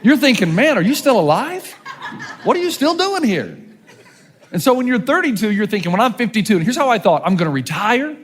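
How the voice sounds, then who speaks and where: A man in his fifties, a pastor, preaching a sermon with animated, joking delivery and rhetorical questions. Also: high voice, brisk, breathy edge, big-room sound. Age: 50s.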